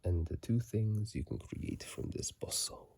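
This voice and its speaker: A man whispering casual ASMR game commentary in a hushed, breathy voice, close to a phone microphone. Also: fairly low pitch, unhurried. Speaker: ASMR video host.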